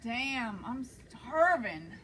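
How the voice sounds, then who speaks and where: A woman's voice: on the high side, very animated, drawn-out words, fairly bright tone, a little hiss or hum, heavily acted. A woman reading a hungry, worn-out female gunslinger, weary and griping.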